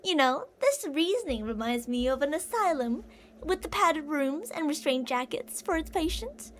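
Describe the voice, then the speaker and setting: Obsessive female yandere captor played by a woman, ASMR roleplay: high, very animated, theatrical, sugary endearments laced with menace. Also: normal speed, slight background noise. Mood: musing, possessive. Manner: theatrical, teasing sing-song with endearments and threats. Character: older obsessive yandere woman kidnapper, possessive, menacing, sweet-talking.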